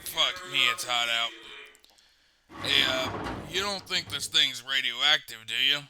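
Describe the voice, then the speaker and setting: A man's voice: medium pitch, unhurried, over music, close to an everyday voice. A man playing an anxious, foul-mouthed field worker who complains casually in naturalistic audio drama dialogue. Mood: uneasy, irritated.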